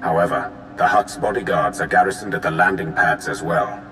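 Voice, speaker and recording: A man playing a solemn, loyal Sith lord and tactical advisor, speaking formally and gravely in a video game. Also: low voice, a little fast, sharp tone. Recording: music behind the voice.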